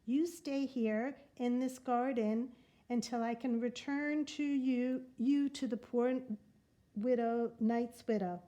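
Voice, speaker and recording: A woman's voice: on the high side, steady tone. Woman doing a brave young girl. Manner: quick hushed asides.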